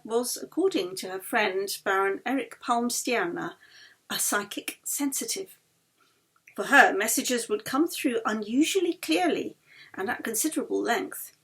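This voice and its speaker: Female audiobook narrator, author-reader: higher-pitched, husky edge, expressive literary storytelling. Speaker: author reading own novel.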